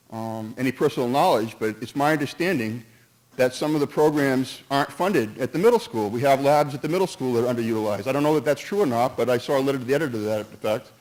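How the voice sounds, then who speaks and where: Halting man speaking at a meeting. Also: mid-range voice, darkish tone, low-quality recording. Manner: halting, restarts and jumps between points; earnest, cautious, hedges with "in my opinion". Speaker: resident speaking at a town meeting. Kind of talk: public comment at a town meeting. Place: town meeting hall.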